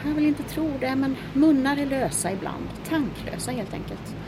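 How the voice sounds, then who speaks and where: A spirited young engineer. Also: female voice, sits high, animated, vocal fry, background noise. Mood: earnest. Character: clever young Swedish woman engineer, spirited. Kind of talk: audio drama acting.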